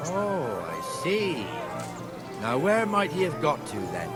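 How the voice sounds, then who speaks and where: An actor playing a gruff, talkative medieval town guard in a video game, plain-spoken and bossy. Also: male voice, fairly high pitch, huge pitch swings, takes it slowly, over loud music, exaggerated voice. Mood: probing, stern. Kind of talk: video game dialogue acting.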